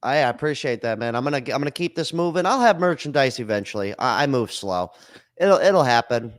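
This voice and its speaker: A man's voice: mid-range voice, animated, a little fast, no echo, clean studio sound. A man hosting a call-in podcast, talking loosely and bluntly in slang, swearing freely. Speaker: podcast host. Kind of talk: casual chat.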